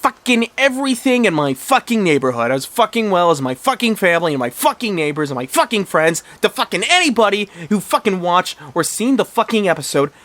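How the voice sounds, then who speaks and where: Guy doing a nasty sweary cartoon animal girl, pushed up high, mean and taunting. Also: fairly bright tone, no echo. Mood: evil, menacing. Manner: aggressive, profane, taunting. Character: foul-mouthed, vicious female cartoon animal, gleeful bully.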